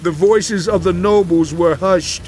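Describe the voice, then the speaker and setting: An actor playing a suffering righteous man in a dramatized audio Bible, with solemn, impassioned, measured delivery. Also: sits high, darkish tone, soft background music. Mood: proud, wistful.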